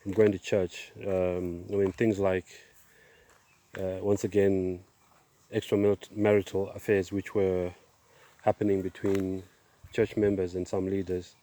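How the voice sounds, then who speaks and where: A middle-aged man giving a personal testimony outdoors, speaking in a halting, measured way with pauses. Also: low voice, little pitch movement, dark tone. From Zimbabwe, born in Zambia. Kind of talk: personal testimony, casual monologue. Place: outdoors, on a walk.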